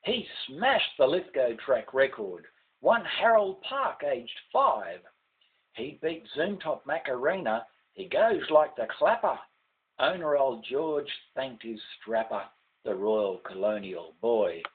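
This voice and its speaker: Older Australian man, slow sing-song poetry recital, widely swinging pitch, declamatory, falling line endings. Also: big pitch swings, husky, phone-quality sound. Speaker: poet reading own poem. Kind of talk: poetry recitation, rhymed ballad with refrain.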